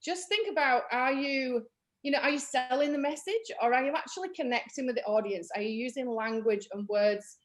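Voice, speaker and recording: A woman's voice: high-pitched, a little fast. A woman from Leeds with a Yorkshire accent, running a marketing workshop on a webinar in a chatty, practical way, with frequent ums and you-knows. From Leeds, England. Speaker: marketing trainer.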